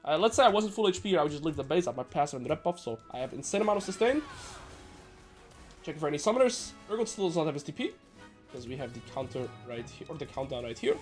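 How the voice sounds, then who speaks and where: A man from the Netherlands, sounding nasal and a little sick, giving brisk gameplay commentary as a coach with joking asides. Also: a little high, sing-song, faint music behind. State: slightly sick. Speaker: game coach.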